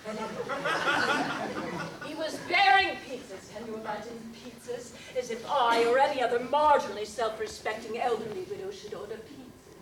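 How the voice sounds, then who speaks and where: A woman's voice: on the high side, normal speed, a crowd in the background, close to an everyday voice. A woman playing a giggly, prim elderly widow. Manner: genteel, mannered, breaks into frequent laughter. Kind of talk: comic character monologue. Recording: roomy, distant mic with background noise.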